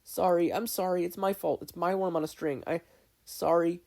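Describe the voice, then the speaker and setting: A man's voice: high-pitched, steady tone, normal speed, creaky, mellow, pitched above the performer's own voice. A man voicing an anxious young boy who is pleading and full of self-blame, in a story reading. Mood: panicked apology.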